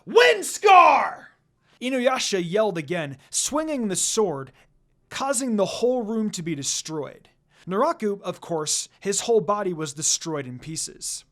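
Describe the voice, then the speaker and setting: A woman reading a scripted story as narrator, in a dramatic, expressive way. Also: mid-range voice, unhurried. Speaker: fan fiction narrator.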